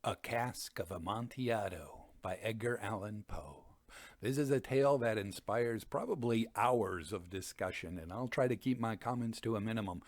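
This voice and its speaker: A man hosting a reading series, giving conversational literary commentary with expressive, emphatic phrasing. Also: on the low side, normal speed, dry recording. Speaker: host and dramatic reader.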